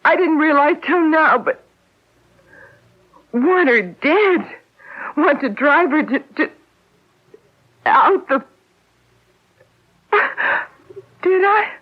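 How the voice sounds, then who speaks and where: An actress playing a distraught, jealous wife in a radio drama, halting and emotional as she defends herself against a murder accusation. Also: high-pitched, animated. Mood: confused, halting. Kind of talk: 1970s radio drama acting. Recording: dull lo-fi radio recording.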